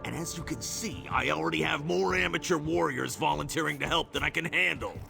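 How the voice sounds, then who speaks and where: An actor playing a gruff, suspicious soldier captain in a video game, with a stern, sarcastic, commanding manner and a gravelly voice. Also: male voice, on the high side, a little fast, over music. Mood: stern, impatient. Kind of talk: video game character acting.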